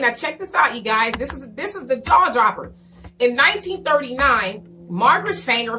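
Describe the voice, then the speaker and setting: Hoarse woman host, high and bright, slightly off-mic, emphatic commentary with rhetorical questions. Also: far from the mic, slight background noise. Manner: emphatic, rhetorical questions, reads quotes aloud. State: hoarse, voice coming back. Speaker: show host. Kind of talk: commentary monologue to viewers.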